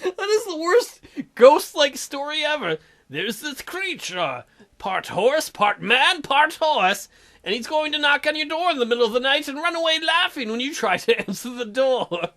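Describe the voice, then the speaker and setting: A man's voice: extremely high pitch, measured pace. A man hosting a let's play, reading a dating sim's dialogue aloud and cracking crude jokes between the lines. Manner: animated, jokey, reads character lines in shifting voices. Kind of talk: reading a dating sim aloud with joking commentary.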